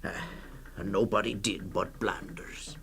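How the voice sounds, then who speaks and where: Male storyteller, 1960s radio drama, very creaky, slow, hesitant pauses, grave, faint music behind. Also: lowish voice, fairly even intonation. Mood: measured, grave. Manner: halting, full of hesitant uhs and pauses. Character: hesitant male storyteller recounting a grim desert tale.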